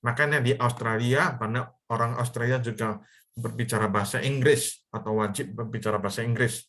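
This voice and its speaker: A man's voice: mid-range voice, steady tone, brisk, fairly bright tone. A young man from Indonesia teaching an English lesson over a video call, explaining in simple steps and checking in with the students. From Medan, North Sumatra, Indonesia. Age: young adult. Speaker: online English tutor. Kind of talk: live virtual class teaching.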